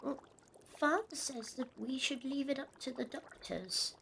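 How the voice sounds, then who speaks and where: A woman's voice: sits high, unhurried, gravelly, fairly bright tone, a little hiss or hum, full character voice. A woman playing a timid, obedient young girl in a period audio drama, hesitant and polite with her elders. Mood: hesitant, worried.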